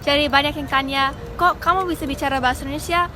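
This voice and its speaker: A woman's voice: sits high, steady tone, fast. A woman from the United States, an English speaker, chatting casually to camera as a vlogger with small fillers. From United States (Texas). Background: background music.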